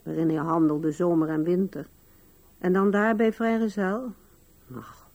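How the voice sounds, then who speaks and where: An actress in a 1970s radio play voicing an old widow who muses hesitantly and wistfully, with a gravelly, husky voice. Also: female voice, lowish voice, steady tone, a little slow, darkish tone. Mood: fond, amused. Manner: hesitant musing with sighs and murmurs. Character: musing old widow Anna, wistful, fond, wryly amused. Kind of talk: 1970s Dutch-language radio play acting.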